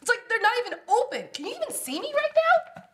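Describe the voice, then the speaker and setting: A woman's voice: very high-pitched, big pitch swings, fast talker, a bit bright, voice pushed up, full character voice. A young woman reading the part of a goofy, blunt, teasing sister in a comedy script, with playful exaggeration. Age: young adult. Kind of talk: comedy table read.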